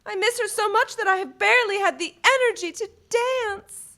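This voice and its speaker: A woman's voice: very high-pitched, normal speed, sharp tone, heavily acted. A woman playing an earnest, fretful young dancer in a live 1940s-set radio play, with melodramatic delivery. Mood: hurt, pleading. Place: theatre stage before a live audience.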